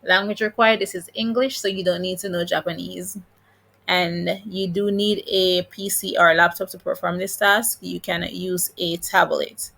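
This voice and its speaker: A woman with a Jamaican accent presents an informal how-to explainer. Her voice sits a little low for a woman and has a clearly creaky, fried texture. She guides the listener in an instructive, encouraging manner, mixing read-out details with her own comments. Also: steady tone, moderate pace, fairly bright tone. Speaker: video host giving job-hunting advice.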